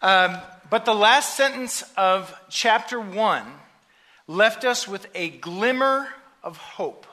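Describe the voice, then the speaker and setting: A man preaching a sermon in short phrases, pausing so an interpreter can translate. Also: sits high, sharp tone. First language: English. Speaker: pastor. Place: church sanctuary.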